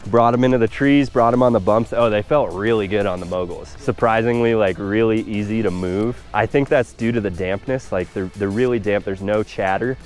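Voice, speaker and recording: A man's voice: on the low side, fairly quick, hardly any pauses, darkish tone. A young man giving a laid-back, conversational product review to camera as a ski reviewer, outdoors. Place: outdoors at a snowy ski slope. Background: music.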